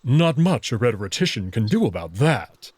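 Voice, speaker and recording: A man playing a proud, confident wolf-man noble in a visual novel, formal and deliberate in his wording. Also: medium pitch, big pitch swings, darkish tone, slightly rough, no echo. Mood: assured. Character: proud, confident male wolf-man noble, playful but hot-tempered.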